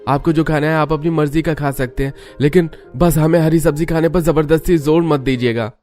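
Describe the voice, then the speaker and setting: A defiant grown son from a cartoon family drama, voiced by a man, with a fairly high voice. He speaks in an insistent, pleading way against soft background music. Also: fairly even intonation, brisk, natural voice. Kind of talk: cartoon voice acting.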